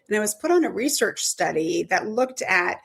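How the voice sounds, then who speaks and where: A middle-aged woman being interviewed about sleep medicine. Her voice is a little hoarse, and her answers run on with restarts and self-corrections. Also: mid-range voice, very animated, moderate pace, a bit bright. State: voice going hoarse. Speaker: sleep medicine expert guest.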